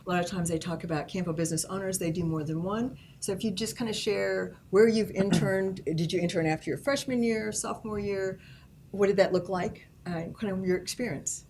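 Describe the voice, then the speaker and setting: A woman's voice: medium pitch, steady tone, fairly quick, a little mellow, a little hiss or hum. A woman moderating a student panel, asking loosely phrased, encouraging questions.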